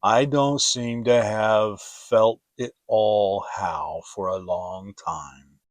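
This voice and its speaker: A man voicing a gloomy old gray donkey in a children's storybook, mournful and resigned, with a slow sighing delivery. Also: lowish voice, fairly even intonation, no room sound. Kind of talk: dramatic children's audiobook reading.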